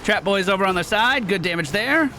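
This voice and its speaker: A man's voice: high-pitched, lively intonation, brisk. A man hosting a gaming video, giving upbeat running commentary on his own play. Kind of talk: gameplay commentary. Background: video game music.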